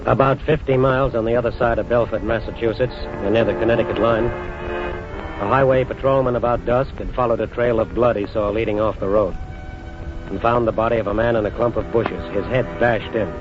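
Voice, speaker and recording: A man narrates an old radio crime drama in a measured, grave delivery, his voice sitting on the low side. The sound is muffled like a 1940s broadcast, with music clearly playing beneath him. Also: fairly even intonation.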